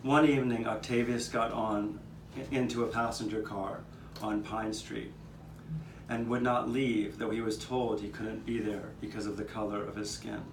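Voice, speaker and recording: A low-voiced man narrates history as an eyewitness in a live puppet show. He is heard from some way off, with roomy echo and clear background noise. The delivery is that of a storyteller addressing onlookers. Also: little pitch movement, normal speed. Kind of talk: first-person storytelling of local history, performed. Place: outdoor courtyard performance. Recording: roomy, distant, noisy live courtyard recording.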